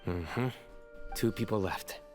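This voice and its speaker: An actor playing a calm, unruffled male officer with a measured, understated manner in a video game. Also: lowish voice, faint music behind.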